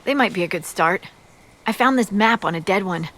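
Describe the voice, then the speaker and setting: Dry, practical fantasy scout. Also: female voice, mid-range voice, expressive, brisk, a bit bright. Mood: businesslike. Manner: dry, informal, matter-of-fact. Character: brisk, practical female army scout. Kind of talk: video game character acting.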